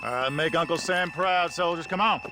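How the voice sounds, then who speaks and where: An actor playing a hard-driving army officer in a western video game, shouting urgent commands. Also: male voice, a little high, normal speed, noisy background, close to an everyday voice. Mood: urgent, bossy.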